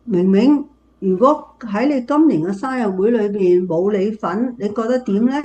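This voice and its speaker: A woman playing an affectionate, reflective grandmother in a Cantonese radio drama, speaking gently. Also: mid-range voice, normal speed, muffled recording, lightly acted.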